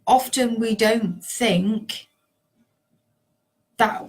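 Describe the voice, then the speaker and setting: Slow-talking hoarse woman coach. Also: fairly high pitch. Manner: chatty, rambling with many ums and laughs. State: hoarse, sore voice. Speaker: life coach. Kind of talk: casual talk to camera.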